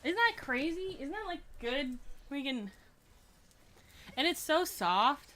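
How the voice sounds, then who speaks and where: A young woman streaming a game with a friend, chatting casually in a loud, projecting voice. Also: sits high, sing-song, takes it slowly. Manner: chatty, showing off plushies. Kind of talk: casual chat.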